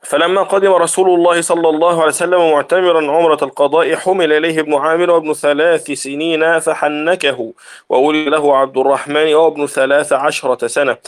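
A man reads a classical scholarly text aloud in a slightly high-pitched voice. His delivery is formal, like a student reciting from a book during a religious lesson. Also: fairly even intonation, brisk.

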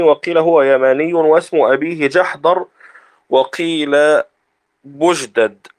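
A man reads a classical text aloud as a student in a religious lesson, in a formal reciting manner. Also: a little high.

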